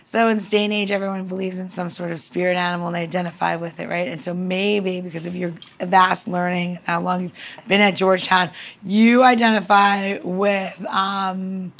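A woman's voice: on the low side, flat delivery, moderate pace, vocal fry, fairly bright tone. A woman co-hosting a podcast in casual, candid chat, rambling and swearing freely as she goes. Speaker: podcast co-host.

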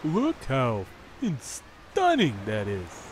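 A woman voicing a bubbly, teasing younger skeleton brother, playful and excitable, for a comic dub. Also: a bass voice, extremely slow, heavily acted. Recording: faint background noise.

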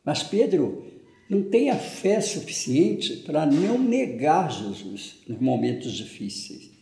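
An older Brazilian Portuguese-accented priest preaching a homily to a camera at medium distance in a small room at home. His voice is gravelly and mellow, and its pitch moves up and down a lot. The room gives it a noticeable echo. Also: sing-song, slow pace. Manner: conversational, frequent "né" tag questions, gestures with hands. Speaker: parish priest. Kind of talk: homily on the gospel. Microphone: fixed camera at medium distance. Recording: echoey room.

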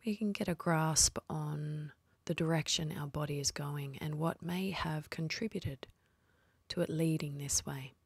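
Woman doing guided meditation, low husky voice w some creak, slow n soothing. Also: dry recording. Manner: slow, soothing, with long pauses.

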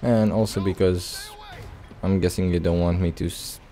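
Male let's play host, casual commentary, low and mellow. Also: fairly even intonation, moderate pace. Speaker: gaming video host. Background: game music.

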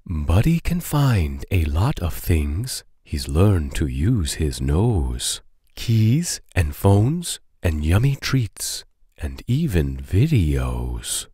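Male narrator for children's rhymes. Playful and expressive, big pitch swings, measured pace, very dry sound. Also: breathy edge, no room sound. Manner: playful, deliberate, sing-song delivery of rhyming verse. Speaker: children's book read-aloud narrator. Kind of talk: expressive children's poetry storybook reading.

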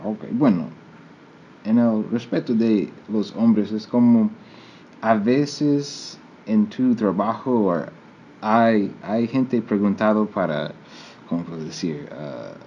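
Halting from a man. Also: fairly low pitch, dark tone, dull audio. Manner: halting, searching for words, many fillers. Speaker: interviewer and channel host. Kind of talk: casual street interview. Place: outdoors on a patio. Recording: background noise.

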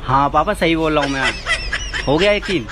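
A man's voice: normal speed, over loud music. A young man making an insistent, exasperated comic phone call as a prank, repeating himself. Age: young adult. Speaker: prankster faking phone calls. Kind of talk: comic staged phone conversation. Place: inside a busy metro train. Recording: very noisy train, rumble and clicks.

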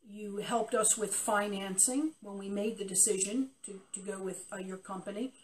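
A woman's voice: mid-range voice, steady tone, normal speed, distant mic, a little hiss or hum, low-quality recording. An older woman giving an unscripted customer testimonial in an interview, recorded in a basement a few feet from the camera. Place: cluttered unfinished basement.

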